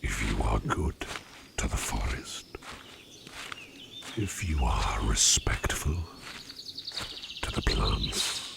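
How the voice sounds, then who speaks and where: A man playing a courteous, secretive sleep demon in disguise for an ASMR roleplay, speaking in formal, old-fashioned, halting phrases in a breathy near-whisper. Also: very deep voice, expressive, background noise, full character voice. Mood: gentle, reassuring. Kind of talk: soft-spoken ASMR roleplay addressed to the listener.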